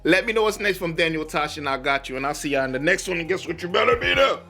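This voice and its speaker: A man's voice: a little high, animated, fast, creaky, pushed and bright. A young man, chatting animatedly, laughing and swearing in a casual reaction commentary, his voice a bit worn. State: losing his voice. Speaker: reaction video host.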